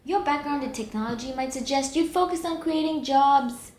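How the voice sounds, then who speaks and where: A woman's voice: sits high, moderate pace, a little mellow, natural voice. A woman playing a perky, earnest news interviewer in a comedic audio drama, asking polite broadcast-style questions. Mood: probing. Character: female TV news panelist, perky, earnest or starstruck. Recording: roomy echo, faint background noise.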